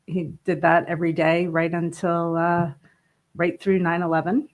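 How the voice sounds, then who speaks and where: A woman's voice: fairly low pitch, little pitch movement, moderate pace. A woman in her fifties who grew up in northern New Jersey, chatting easily as an interview guest and laughing often. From northern New Jersey, USA. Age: 50s. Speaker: interview guest, brewery co-owner. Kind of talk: casual interview.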